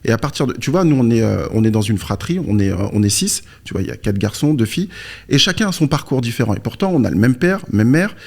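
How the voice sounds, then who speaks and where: A man's voice: mid-range voice, lively intonation, quick pace, gravelly, a little mellow. Man explaining in an informal, conversational way. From born in Morocco, moved to France aged three or four. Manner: informal, explanatory, poses rhetorical questions and answers them. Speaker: interview guest, mental coach. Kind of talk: conversational explanation with personal anecdotes.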